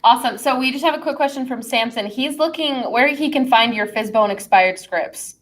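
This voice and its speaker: Affirming woman podcast host, higher voice. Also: fairly quick. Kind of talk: podcast interview.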